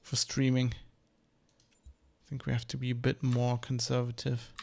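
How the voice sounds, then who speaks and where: A man's voice: medium pitch, monotone, a little slow, gravelly, noisy background. A man giving rambling, casual commentary while streaming a flight sim. He restarts and corrects himself often. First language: German.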